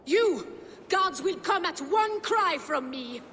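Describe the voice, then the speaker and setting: A woman's voice: extremely high pitch, lively intonation, slow, a bit bright, long reverb tail, a little hiss or hum, heavily acted. A woman playing a proud, disdainful Frankish noblewoman in a game cutscene, speaking with weary formality. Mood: alarmed, commanding. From Francia. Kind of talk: dramatic game cutscene acting.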